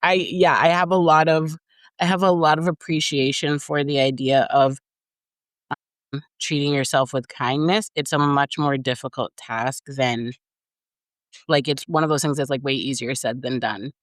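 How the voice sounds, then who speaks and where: A co-host on a podcast, talking in a reflective, measured way, with plenty of "like" and "mm-hmm". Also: medium pitch, steady tone, fairly bright tone, dry recording. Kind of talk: casual conversation on leadership.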